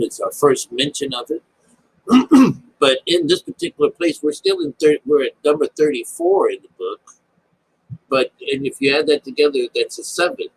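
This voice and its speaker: A man giving informal Bible commentary in a rambling, halting way, often breaking off and restating himself. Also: mid-range voice, a bit bright, a little hiss or hum, poor audio.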